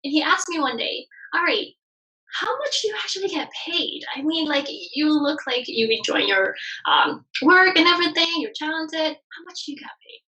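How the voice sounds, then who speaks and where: A woman from Bali, Indonesia, in her thirties, speaks as a podcast guest. Her voice sits a little high and sounds bright and forward. She tells her story in a chatty, animated way and laughs often. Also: moderate pace, low-quality recording. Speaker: guest, branding expert. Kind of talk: casual podcast interview.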